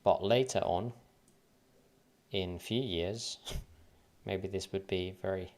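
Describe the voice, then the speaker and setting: A man's voice: sits low, slow pace, darkish tone. A man from Finland with a light Finnish accent, thinking aloud and counting waves under his breath as he goes through charts on a casual trading livestream.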